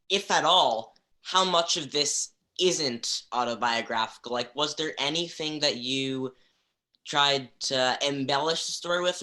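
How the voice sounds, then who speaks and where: Bright-voiced, unhurried man. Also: medium pitch. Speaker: audience member asking a question. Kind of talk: casual question.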